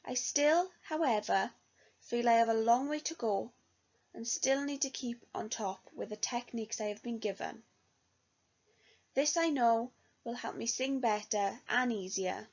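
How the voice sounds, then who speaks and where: Gravelly young woman reading a self-review. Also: on the high side, a little slow, darkish tone. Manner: earnest, read-aloud delivery. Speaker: music student. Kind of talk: reflective spoken self-review. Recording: dull lo-fi recording.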